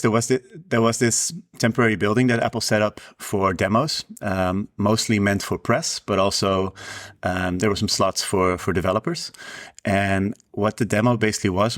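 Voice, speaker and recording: A man's voice: lowish voice, fairly even intonation, fairly quick, fairly bright tone. A man, chatting casually over a video call with frequent "um" and "uh" fillers. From Netherlands. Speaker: indie app developer, co-host. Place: indoors by wooden doors. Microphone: video call webcam.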